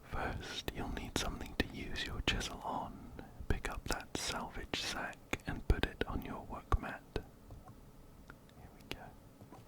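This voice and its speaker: Guy doing asmr gameplay, all soft whispers right in your ear, really slow and gentle. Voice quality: breathy unvoiced whisper. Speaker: ASMR video host. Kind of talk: whispered ASMR game playthrough, reading game text aloud. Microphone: close-up binaural microphone, ear to ear.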